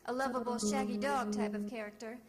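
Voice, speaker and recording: A woman's voice: on the high side, steady tone, brisk, over a noisy crowd, lightly acted. An actress playing a breezy, shrewd female private eye in old-time radio detective drama, wry, glib and teasing. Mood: wry narrating. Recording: vintage radio drama recording with music.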